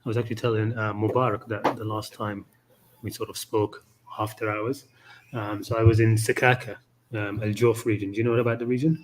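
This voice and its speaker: A man's voice: fairly low pitch, little pitch movement, fairly quick, a little hiss or hum, poor audio. Rambling man host full of ums. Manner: friendly, filler-heavy, rambling. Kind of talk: casual conversation.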